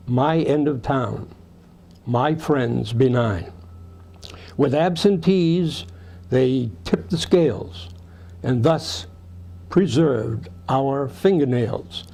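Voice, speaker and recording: A man's voice: fairly high pitch, gravelly, a little mellow. A man reading rhyming verse aloud. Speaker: reader of poems.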